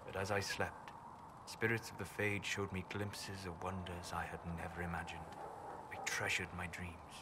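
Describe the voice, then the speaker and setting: Guy playing a calm learned elf mage in a game, low measured voice, clear background ambience noise. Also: close to an everyday voice. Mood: reflective. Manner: measured, formal, thoughtful phrasing. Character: calm, learned elven apostate mage, reserved and scholarly. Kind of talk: video game dialogue acting.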